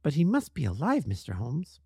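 Anxious, pleading Victorian wife read by a man, lifted high, swinging pitch, dry close sound. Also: wildly swooping pitch, moderate pace, dark tone, slightly rough, dry recording, full character voice.

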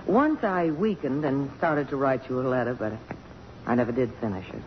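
An actress playing a self-possessed, sharp-witted middle-aged congresswoman, earnest and commanding, in 1950s radio drama style. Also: extremely low pitch, lively intonation, normal speed. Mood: wistful, guarded. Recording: muffled, noisy old radio recording.

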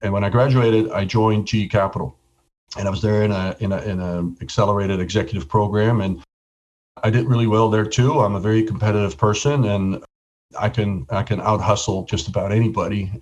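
A middle-aged man from the Netherlands speaks in a very deep voice. He tells his story in a relaxed, casual way and often repeats a word as he starts a sentence. The sound is a little dull and lo-fi. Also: a little fast. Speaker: podcast guest.

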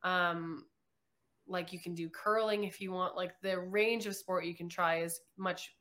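A woman's voice: fairly low pitch, steady tone, unhurried, fairly bright tone. A young woman from Canada, a guest chatting casually in an interview, with many "like" fillers.

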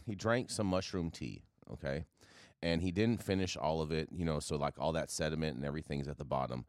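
A man's voice: extremely low pitch, brisk, darkish tone, dry recording, studio quality. A man hosting a casual news talk show, speaking emphatically and often repeating his phrases.

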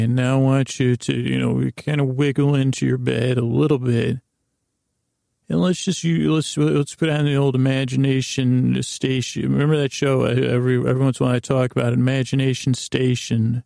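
Very creaky, gravelly man rambling softly. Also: medium pitch, no room sound, clean studio sound. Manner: meandering, digressive, whimsical. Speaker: host of a bedtime story podcast. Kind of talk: rambling, improvised sleep-aid monologue.

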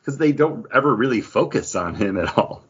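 A man hosting a podcast in a casual chat, rambling and halting, with "like" dropped in often. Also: mid-range voice, lively intonation, husky, lo-fi sound. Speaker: podcast host.

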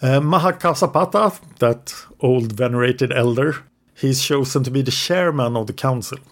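English-speaking man, podcast co-host, mid-pitched, narrates history from notes with the odd hesitation. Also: normal speed. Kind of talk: casual history podcast storytelling.

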